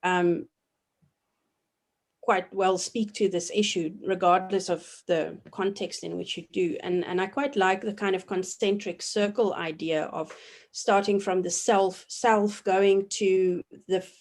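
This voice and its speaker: A woman in her forties from South Africa, a professor giving measured, reflective answers in an interview, often pausing with ums and restarting her sentences. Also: mid-range voice, steady tone. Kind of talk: thoughtful academic interview answers.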